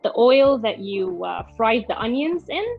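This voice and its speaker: A woman's voice: a little high, expressive, measured pace, gravelly, slightly rough, lo-fi sound, soft background music. Young Egyptian woman explaining a recipe. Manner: thoughtful, explains step by step with many fillers and restarts. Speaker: guest food creator. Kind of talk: casual explanatory chat.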